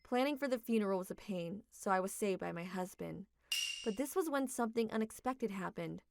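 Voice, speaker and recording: A woman's voice: mid-range voice, lively intonation, a little fast, vocal fry, noisy background. A young adult woman narrating a manga dub in a reflective, emotional way.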